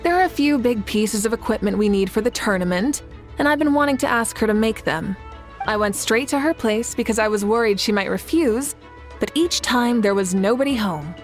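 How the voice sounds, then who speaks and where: A woman's voice: a little high, lively intonation, moderate pace, soft background music. An actress playing a composed, confident young female leader in a video game, poised and wryly amused. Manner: poised, wry.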